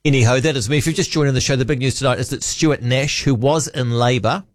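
A man's voice: medium pitch, quick pace, no echo, studio quality. A man with a New Zealand accent hosting a late-night talk radio show, chatty and wry, musing aloud. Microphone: studio microphone.

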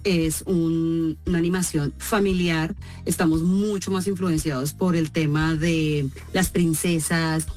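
A Colombian woman with a Colombian accent, an actress answering interview questions in an expressive, storytelling way. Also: low-pitched. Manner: expressive, storytelling with acted quotes. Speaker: actress guest.

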